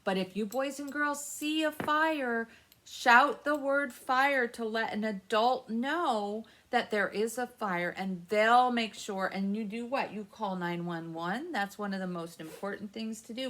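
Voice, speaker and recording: A woman's voice: fairly high pitch, a little slow, fairly bright tone. An American woman hosting a children's story time, asking simple questions and patiently explaining the answers as she goes. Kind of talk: interactive read-aloud and teaching for young children.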